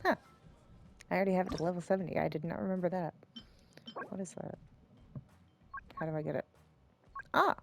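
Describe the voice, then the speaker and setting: Lower-pitched woman rambling through game commentary. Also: fairly quick, creaky, pauses often, slight background noise. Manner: rambling, self-deprecating, hesitant. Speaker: game streamer, host. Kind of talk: casual let's play commentary.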